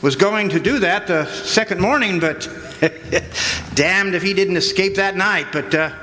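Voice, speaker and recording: Reverberant stage radio play: smug folksy sheriff male actor, high, sharp voice, self-satisfied and chummy. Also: measured pace. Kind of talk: radio drama acting. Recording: noisy reverberant hall stage recording.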